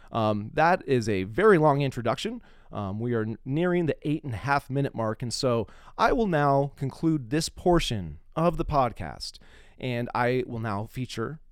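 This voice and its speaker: A man's voice: mid-range voice, clear, resonant tone, no room sound, studio quality. A middle-aged man hosting a podcast, shifting between dramatic reading and casual talk. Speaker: podcast host.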